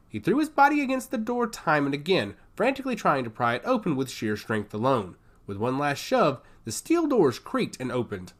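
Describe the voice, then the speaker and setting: A man reading scripted science fiction action narration in an emphatic, theatrical way. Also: mid-range voice.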